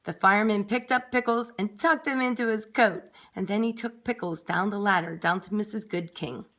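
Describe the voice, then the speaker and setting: A woman reading a picture book aloud to nursery school children, expressive and emphatic, with chatty asides. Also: mid-range voice, moderate pace. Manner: emphatic, clearly paced for young children.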